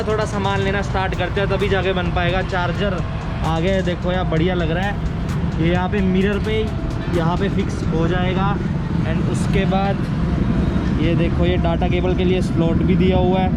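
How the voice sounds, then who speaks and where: Higher-voiced man vlogging over music. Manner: chummy, slangy, sprinkles English words into Hindi. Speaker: motovlogger. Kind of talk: casual vlog chat to viewers. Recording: music playing behind the voice.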